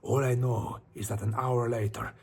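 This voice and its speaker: A man voicing an anxious, brooding Spanish narrator of a horror story, theatrical and often dropping to hushed tones, with a Spanish accent. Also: mid-range voice, fairly even intonation, normal speed, creaky, husky. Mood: brooding, uneasy. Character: anxious, self-questioning Spanish man narrating his descent into madness.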